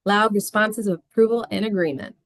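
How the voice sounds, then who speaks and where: A woman narrates scripted stage directions in a mid-range voice with noticeable vocal fry. The recording is close and dry, with no room sound. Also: mid-range voice, fairly quick, no room sound.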